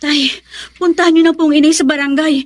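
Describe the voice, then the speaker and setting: An actress playing an anxious, dutiful young daughter, respectful and pleading, in a Tagalog radio drama. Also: female voice, high-pitched, fairly even intonation, fast talker, lightly acted.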